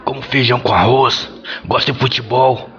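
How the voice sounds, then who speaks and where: A man's voice: mid-range voice, steady tone, normal speed, sharp tone, natural voice. A man playing an earnest, gallant young suitor on a date in a parody radio soap opera, delivered melodramatically. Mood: smitten. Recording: dull lo-fi audio.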